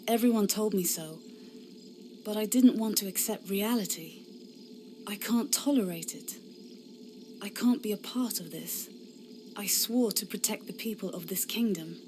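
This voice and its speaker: A woman's voice: mid-range voice, background noise, natural voice. A woman playing an earnest, idealistic young inquisitor knight in a fantasy game cutscene, impassioned and defiant.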